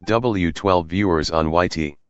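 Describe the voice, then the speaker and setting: A man's voice: deep voice, a little slow. A man reading out short livestream chat remarks in dull, lo-fi audio.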